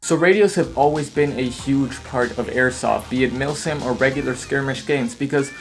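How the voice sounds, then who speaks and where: A man's voice: mid-range voice, moderate pace, faint music behind. A young man hosting a casual product review vlog, speaking to viewers. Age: young adult. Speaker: host reviewing gear. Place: home room studio, later outdoors on a street.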